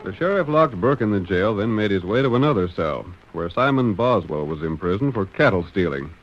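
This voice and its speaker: A man's voice: lowish voice, lively intonation, fairly quick, slight background noise, close to an everyday voice. A man narrating an old radio western as a dramatic scripted storyteller. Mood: urgent, hushed drama. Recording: dull vintage radio recording.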